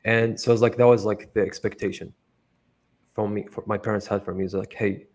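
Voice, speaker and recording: A man's voice: lowish voice, steady tone, brisk, darkish tone. A young man from Iraq, talking casually as an interview guest, rambling with lots of fillers and swearing freely. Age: young adult.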